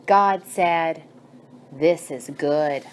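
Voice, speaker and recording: A woman narrating a bedtime story and guided meditation in a soothing, measured manner with drawn-out phrases. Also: deep voice, slight background noise.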